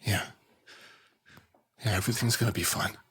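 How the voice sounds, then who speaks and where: An actor playing a shaken father in an audio drama, speaking in terse, hesitant phrases as he tries to keep his family calm. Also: fairly low pitch, moderate pace, slightly rough, full character voice. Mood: numb, uneasy.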